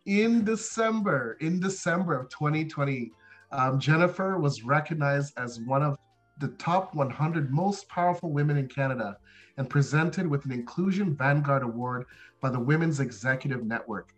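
Higher-voiced man co-hosting over music. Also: lively intonation, moderate pace, low-quality recording. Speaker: podcast co-host. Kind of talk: scripted guest introduction.

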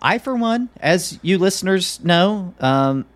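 A man's voice: high voice, lively intonation, takes it slowly. A man hosting a podcast in a casual, conversational chat style. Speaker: podcast host.

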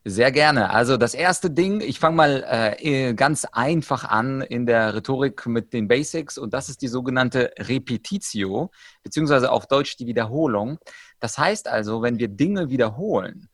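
A man from Ukraine talks as an expert guest on a podcast. His voice sits at a medium pitch and is recorded dry, with little room sound. He explains in a conversational way, working through examples like a trainer. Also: lively intonation, normal speed, fairly bright tone, no echo. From Ukraine, moved to Germany at ten.

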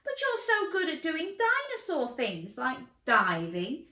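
A woman's voice: sits high, wildly swooping pitch, unhurried, pushed and bright, voice pushed up, full character voice. A woman doing a sputtering dinosaur dad. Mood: flustered. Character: flustered, blustering dinosaur dad. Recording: roomy echo.

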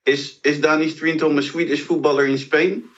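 Jokey man with a higher voice. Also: flat delivery, normal speed, a bit bright. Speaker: co-host asking questions. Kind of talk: casual interview chat. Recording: slightly dull, lo-fi audio.

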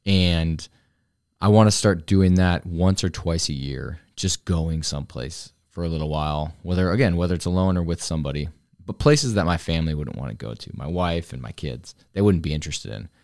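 Man, forties, very deep voice, dry close sound, earnest, rambling reflective talk. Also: normal speed, a little mellow, no echo. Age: 40s. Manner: earnest, rambling, with many restarts. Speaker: vlogger, fitness coach. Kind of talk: reflective solo talk to camera.

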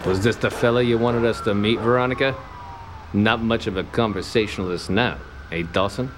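An actor playing a cocky, bossy 1920s private detective in a noir radio comedy, with clipped wisecracking delivery. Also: male voice, fairly low pitch, fairly even intonation, a little fast, background noise, lightly acted. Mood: brisk, commanding.

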